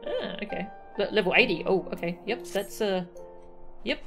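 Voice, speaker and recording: A woman's voice: mid-range voice, expressive, moderate pace. A woman giving casual, chatty live commentary as she streams a video game, easily delighted. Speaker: game livestreamer. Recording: loud game music behind. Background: video game music.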